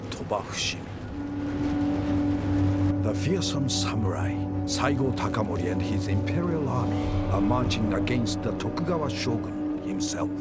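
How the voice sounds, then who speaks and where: Game narrator: solemn feudal war chronicler, low-pitched, expressive range, measured theatrical delivery over prominent music. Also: male voice. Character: grave male war chronicler of feudal Japan. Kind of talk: dramatic scripted cinematic game narration. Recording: loud music under narration. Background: cinematic music.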